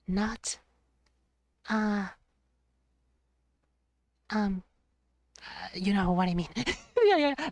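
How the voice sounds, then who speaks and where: A woman's voice: mid-range voice, dry recording, studio quality. A woman chatting casually with her stream chat, rambling and self-deprecating, laughing often and restarting her sentences. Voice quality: trained, voice she has been working on.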